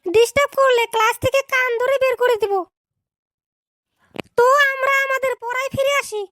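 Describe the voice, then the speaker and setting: A woman voicing a strict scolding schoolteacher, very high. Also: fairly even intonation, normal speed, a bit bright, no echo. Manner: scolding, lecturing. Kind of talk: cartoon dub acting.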